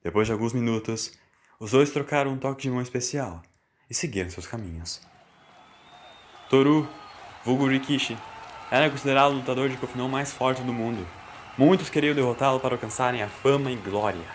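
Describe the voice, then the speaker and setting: A man's voice: mid-range voice, fairly quick, a little hiss or hum. A man with a Brazilian accent reading a scripted, dramatic story as its narrator. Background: music.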